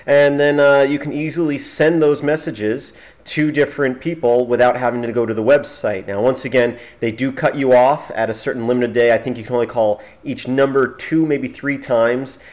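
Male tutorial host, informal and halting, average pitch, thinks aloud while demonstrating. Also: darkish tone.

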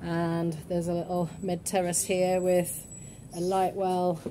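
A woman gives a practical, matter-of-fact tour of an empty townhouse, speaking into a handheld phone. Her voice is low, mellow and fairly flat, and it echoes around the bare rooms over a faint hum of ambience. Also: takes it slowly. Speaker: estate agent giving a property tour. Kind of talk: walkthrough tour commentary. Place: empty, run-down townhouse indoors. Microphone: phone camera held while walking.

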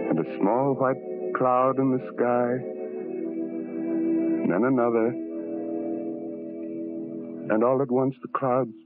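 An actor playing a lonely, wistful, ugly sideshow freak, speaking in halting, dreamy phrases in a radio horror drama. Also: male voice, mid-range voice, close to an everyday voice. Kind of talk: 1970s radio horror drama narration and acting. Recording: tinny old radio recording, loud music bed.

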